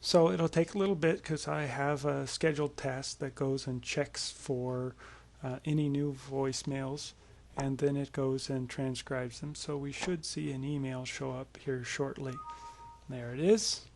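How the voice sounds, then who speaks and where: A man presenting a software tutorial as a casual screencast walkthrough, explaining things informally in a creaky voice. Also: mid-range voice, fairly even intonation, unhurried, a little hiss or hum.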